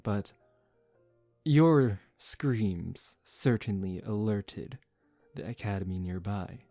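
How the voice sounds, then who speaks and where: A man voicing a suave, condescending young male vampire in a soft-spoken ASMR roleplay, calm and teasing. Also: lowish voice, no echo. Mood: smug, persuasive. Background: relaxing background music.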